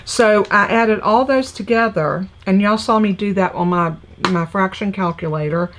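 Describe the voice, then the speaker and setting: A woman's voice: medium pitch, normal speed, fairly bright tone. A woman with a scratchy, congested voice, chattily explaining a papercraft project step by step as she works. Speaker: papercraft demonstrator. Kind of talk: live crafting tutorial.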